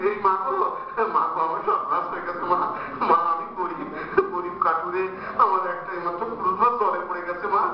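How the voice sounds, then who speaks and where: A man's voice: high-pitched, steady tone, brisk, natural voice. A man playing a poor, honest village woodcutter in a comic folk-tale drama, humble and exclamatory, with a plaintive theatrical delivery. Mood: tearful pleading. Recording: very echoey, distant, dull, noisy recording.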